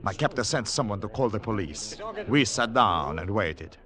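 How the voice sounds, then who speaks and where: Low, weary secret agent, 1940s radio. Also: male voice, animated, normal speed, a bit bright. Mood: somber, resigned. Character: weary, haunted male secret agent, first-person narrator, stoic. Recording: 1940s radio broadcast, music behind.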